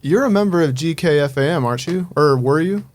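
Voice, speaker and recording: A twenty-eight-year-old man hosting a podcast, talking in a thoughtful, steady way, full of ums and "I mean". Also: a little high, fast talker. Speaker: podcast host. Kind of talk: casual podcast chat.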